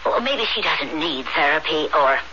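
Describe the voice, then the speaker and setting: An anxious, proper mother in a radio play. Her voice is bright and tense, with faltering delivery. The old recording is dull, with faint noise. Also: low voice, animated, moderate pace. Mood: anxious, hesitant. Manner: hesitant, faltering. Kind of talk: radio drama acting.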